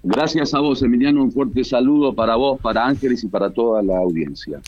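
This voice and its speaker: A man's voice: medium pitch, fairly quick, darkish tone, no echo, lo-fi sound. A man from Argentina with an Argentine Spanish accent, giving emphatic, repetitive political commentary as an analyst guest over a remote radio link. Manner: emphatic, builds points by repetition.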